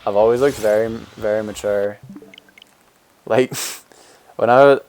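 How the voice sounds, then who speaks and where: A young man in his twenties with a fairly low, deep voice streams a game and chats loosely with his viewers. He rambles in an easygoing way, full of fillers and restarts, as he answers their comments. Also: fairly even intonation, slow, darkish tone, a little hiss or hum, low-quality recording. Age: 20s. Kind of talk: casual live-stream chat, reading and answering viewer comments.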